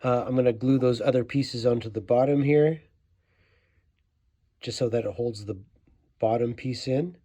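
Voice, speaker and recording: A man with an average-pitched voice talks through a hands-on tutorial in an informal way. He thinks aloud as he works, like a casual workshop host. The sound is dry, with very little room in it. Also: moderate pace, pauses often, dark tone, dry recording. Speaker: host of a toy restoration tutorial. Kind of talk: casual hands-on how-to narration.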